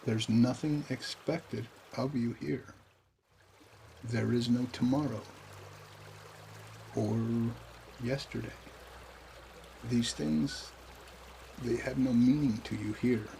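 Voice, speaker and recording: A man leading a guided meditation in a calm, unhurried way, with pauses between short phrases. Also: medium pitch, creaky, a little hiss or hum.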